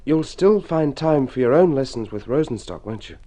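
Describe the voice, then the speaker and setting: An actor playing a struggling young painter, a gentle and evasive husband, in an old radio drama, earnest and hesitant. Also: male voice, mid-range voice, animated, normal speed, lightly acted. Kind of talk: mid-century radio drama acting.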